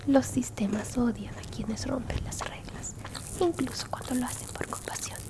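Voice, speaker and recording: A woman's voice: fairly high pitch, close to an everyday voice. A woman playing a kindly old alien grandmother in a whispered ASMR roleplay, tenderly and unhurriedly comforting a lost human. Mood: soothing, wise. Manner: tender, unhurried, reassuring, near-constant whisper.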